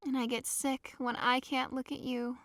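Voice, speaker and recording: A woman's voice: sits high, level tone, moderate pace, a bit bright, slight background noise. A woman playing a lovesick, devoted young woman in an audio drama, pleading earnestly and dramatically. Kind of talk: theatrical audio drama acting.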